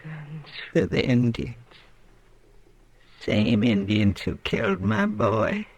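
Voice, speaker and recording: Slow, halting frontier widow, gravelly and bleak. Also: female voice, very deep voice, big pitch swings, a little mellow, full character voice. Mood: bitter grief. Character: grief-stricken frontier widow confessing to killing her husband. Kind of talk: old radio western acting.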